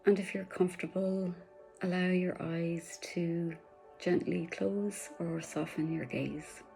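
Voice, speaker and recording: A woman leading a guided meditation in a soft, soothing voice, slipping at times into breathy whispers. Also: fairly low pitch, steady tone, takes it slowly, faint music behind.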